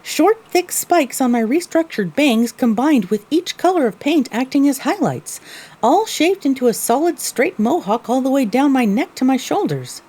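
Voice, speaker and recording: A woman voices a young pony mare telling her own story in a scripted audio drama. Her voice is soft and husky with a mellow tone, wistful and animated in its melody. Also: high voice, normal speed. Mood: wry reflective. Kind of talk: first-person scripted narration, audio drama.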